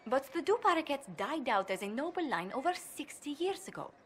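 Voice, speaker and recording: A woman's voice: sits high, sing-song, brisk, fairly bright tone, in a large hall, slight background noise. An actress playing a proud, worried young noblewoman diplomat in a video game, speaking formally, precisely and earnestly. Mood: measured, concerned. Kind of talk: video game cutscene acting.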